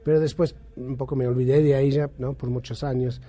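A man's voice: medium pitch, flat delivery, brisk, dark tone. British man speaking Spanish with Argentine lilt. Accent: Argentine-tinged Spanish over a British base. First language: English. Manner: thoughtful, many restarts and tag questions. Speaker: journalist and author, interview guest. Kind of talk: conversational interview answers.

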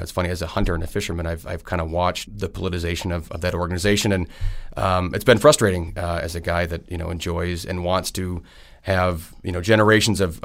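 Low gravelly-voiced guy in his 30s from wisconsin, talks fast and rambles a bit, lots of ums. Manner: friendly, rambling, many fillers. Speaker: state senator. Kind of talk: casual interview answers.

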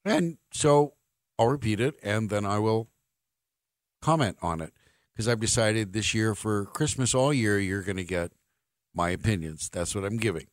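A man's voice: fairly low pitch, normal speed, no echo, clean studio sound. An American man hosting a sports talk radio show, with a wry, opinionated and emphatic delivery.

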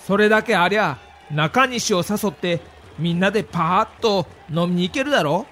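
A man playing a loyal, earnest relief pitcher, plain-spoken, in a dramatised audio documentary. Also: expressive, normal speed, a bit bright, soft background music. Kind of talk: acted dialogue in an audio documentary.

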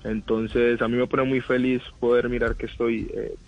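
A young man, giving thoughtful radio interview answers with many pauses. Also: medium pitch, level tone, muffled recording. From Colombia. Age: 20s. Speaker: footballer guest.

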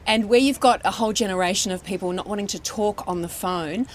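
A woman's voice: mid-range voice, fast talker, a little hiss or hum. A woman speaking earnestly and emphatically as a panellist in a discussion. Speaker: radio panellist.

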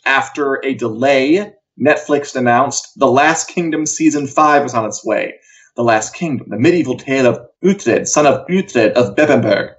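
A man co-hosting a casual pop culture news chat in a wry, jokey, chatty way, over a video call. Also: medium pitch. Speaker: co-host of a pop culture live show. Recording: dull, lo-fi sound.

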